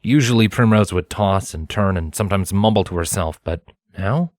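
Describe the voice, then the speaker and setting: A man's voice: sits low, moderate pace, darkish tone, no echo, studio quality. A man narrating fiction as a storyteller, reading from a script in a dramatized audiobook style.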